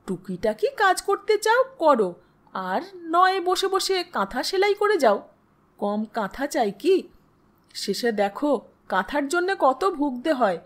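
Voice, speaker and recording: A woman's voice: sits high, big pitch swings, slow pace, exaggerated voice. A woman voicing a talkative, kindly older village aunt in a storybook, coaxing and chiding in homely speech.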